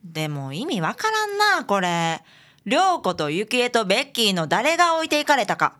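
A woman's voice: mid-range voice, sing-song, normal speed, fairly bright tone. A woman voicing a playful, easygoing detective's assistant with a Kansai accent in a radio drama. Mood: puzzled. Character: cheerful young female detective's assistant. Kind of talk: community radio drama acting.

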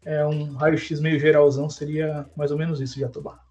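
A man chatting casually as a podcast guest, relaxed and slangy, often tagging his sentences with filler words. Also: fairly high pitch, moderate pace. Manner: relaxed, slangy, fills with "né, cara". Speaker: podcast guest, tech lead. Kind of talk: casual chat. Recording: dull lo-fi sound with faint music.

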